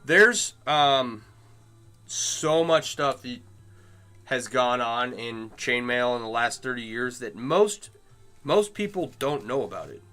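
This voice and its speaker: Bright-voiced chatty man on a livestream. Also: medium pitch, animated, slow, faint music behind. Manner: chatty, greets and answers viewers while working. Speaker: chainmail maker streaming live. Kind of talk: casual livestream chat.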